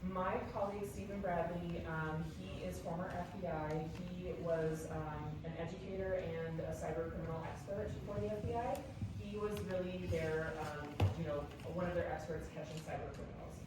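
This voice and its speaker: Woman presenting amid loud room noise. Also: lowish voice, fairly even intonation, moderate pace. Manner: informative, with frequent ums. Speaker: speaker for a support program. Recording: echoey room with loud noise, mic far off.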